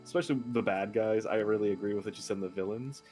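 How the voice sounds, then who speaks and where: A man's voice: fairly low pitch, fast, darkish tone, soft background music, poor audio. A man chatting casually as a stream guest, slangy, informal and enthusiastic in the way he talks. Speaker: stream guest, ASMR voice actor. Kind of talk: casual chat.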